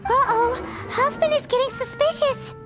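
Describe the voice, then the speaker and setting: A woman voicing a tiny, excitable, childlike fairy companion in an anime-style video game, with a squeaky voice and exclamatory delivery. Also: normal speed. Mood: panicked. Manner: exclamatory, refers to self in third person. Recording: loud game music behind the voice.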